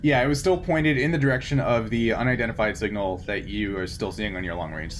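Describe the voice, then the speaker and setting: A man's voice: on the low side, brisk. A man running a tabletop game, improvising narration and bantering in a jokey, chuckling way. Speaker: game master. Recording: noisy room, steady background noise.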